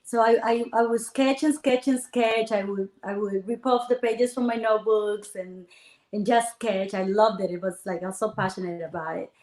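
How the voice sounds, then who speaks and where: A woman from the Dominican Republic tells her story in a lively, animated way, often acting out quoted voices. Her pitch sits in a middle range, and the room around her rings with noticeable echo, as on a video call. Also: normal speed. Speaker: guest entrepreneur. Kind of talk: conversational interview. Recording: echoey room.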